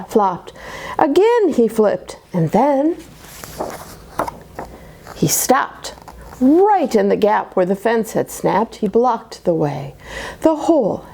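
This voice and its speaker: A woman reading a rhyming children's picture book aloud at a church story time, expressive, dropping to dramatic whispers. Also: fairly high pitch, slow, creaky, breathy edge.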